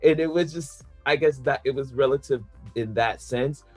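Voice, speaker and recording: Man, podcast panelist, casual chat, rambling, filler-heavy, average pitch, faint background music. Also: normal speed, breathy edge. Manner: laughs between points.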